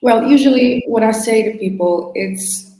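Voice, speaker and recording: A woman's voice: a little high, warm tone, slight background noise. Woman chatting in english, keeps restarting her sentences, echoey room. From Portugal. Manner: halting, restarts phrases, laughs between answers. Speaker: singer, interview guest. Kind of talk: casual interview answers.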